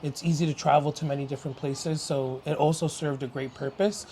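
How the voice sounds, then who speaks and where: A man's voice: on the high side, fairly even intonation, a little fast, darkish tone, slight background noise. A young man from Canada, an interview guest talking casually and openly, laughing easily. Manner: open and chatty, laughs easily. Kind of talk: casual chat.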